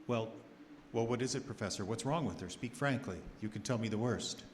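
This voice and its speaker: An actor playing an earnest doctor narrating his journal in formal, measured diction in a radio horror drama. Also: mid-range voice, a little hiss or hum, natural voice. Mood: anxious. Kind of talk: old-time radio horror drama acting. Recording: live radio play under loud music.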